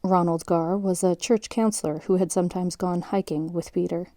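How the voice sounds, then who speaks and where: A woman playing a sober documentary narrator in an audio drama, reading in a measured, factual way. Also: fairly low pitch, fairly even intonation, mellow, dry recording, studio quality, natural voice. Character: sober female documentary narrator recounting a case.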